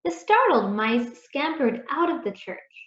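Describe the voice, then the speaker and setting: Female storyteller for a kids' read-aloud, slow and lively, in a slightly dull, roomy recording. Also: sits high. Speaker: children's story reader.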